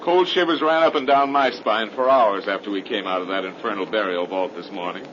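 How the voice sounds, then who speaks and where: An actor playing a brash, sceptical young man, brisk and slangy, in a 1930s radio horror drama. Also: fairly low pitch, a bit bright, lightly acted. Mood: cheery, impatient. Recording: noisy, dull 1930s radio recording.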